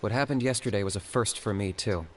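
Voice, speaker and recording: A man voicing a calm, stoic young hunter. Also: on the low side, slight background noise, natural voice. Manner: measured, reserved, dry. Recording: game soundtrack playing behind. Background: game music.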